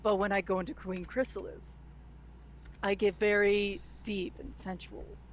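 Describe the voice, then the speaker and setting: A woman with a nasal Midwestern American accent, chatting excitedly as a guest on a livestream and swearing freely. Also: medium pitch, measured pace, highs cut off, a little hiss or hum. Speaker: guest voice actor. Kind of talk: casual livestream chat.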